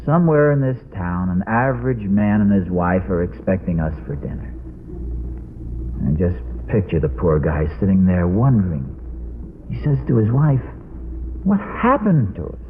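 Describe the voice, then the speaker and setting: A man's voice: low-pitched, expressive, moderate pace. An American man playing a forgetful, stubborn middle-aged husband in a vintage radio sitcom, hesitant and earnestly explaining his odd logic. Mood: fretful. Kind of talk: 1940s-50s radio sitcom acting. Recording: tinny, noisy old radio recording.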